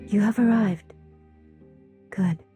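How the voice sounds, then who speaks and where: An actress playing a solemn, secretive mystic in a game cutscene, husky and breathy, speaking in a measured, formal way. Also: female voice, mid-range voice, dark tone, soft background music, natural voice. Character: solemn mystic seer, grave and secretive woman. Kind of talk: dramatic video game cutscene acting.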